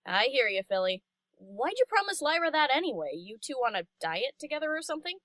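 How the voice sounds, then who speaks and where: A woman's voice: high-pitched, sing-song, a little fast, fairly bright tone, no room sound. A woman voicing a brash, casual young female DJ pony in an audiobook, with an informal, slangy, blunt manner. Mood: casual, chummy. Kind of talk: audiobook character acting.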